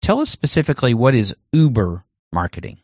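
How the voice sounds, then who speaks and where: A male show host delivering formal, scripted introductions and brisk interview questions. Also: mid-range voice, big pitch swings, a little mellow, no echo, lo-fi sound. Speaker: podcast host.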